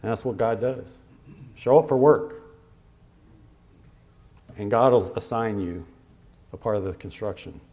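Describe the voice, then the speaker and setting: A man preaching a sermon to his congregation in a conversational way, with pauses and fillers, slowing down to stress scripture references. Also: on the low side, a little mellow. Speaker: pastor.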